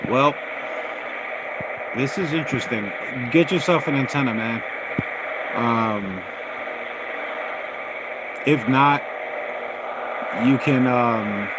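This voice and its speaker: A man hosting a casual radio hobby livestream, talking in a halting, rambling, folksy way. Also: mid-range voice. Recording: loud radio static and noise. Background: noisy shortwave radio signal.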